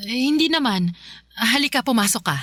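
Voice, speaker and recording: A woman's voice: fairly high pitch, expressive, fairly quick, background noise, close to an everyday voice. An actress playing a kindly, chatty mother with a Filipino accent in a radio drama. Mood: concerned. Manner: homely, concerned, chatty. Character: kindly middle-aged mother welcoming her family.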